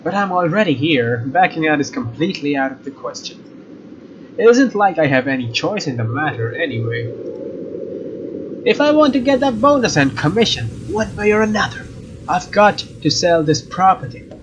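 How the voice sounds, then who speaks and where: A man's voice: a little high, very animated, normal speed. A young man doing a let's play, reading a visual novel aloud and adding casual commentary. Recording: dull lo-fi mic, faint noise.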